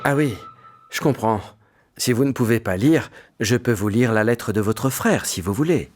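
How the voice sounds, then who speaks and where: Man playing an earnest, animated fairytale prince. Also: medium pitch, moderate pace, faint music behind, lightly acted. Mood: courteous. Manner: theatrical, eager, courteous. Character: earnest, gallant, naive young fairytale prince.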